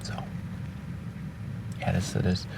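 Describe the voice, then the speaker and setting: A young man from Hong Kong with Cantonese as his native language, speaking slowly and thoughtfully with pauses and restarts, recorded outdoors on a beach at dawn. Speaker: vlogger. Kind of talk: reflective spoken letter. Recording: distant outdoor mic with noise.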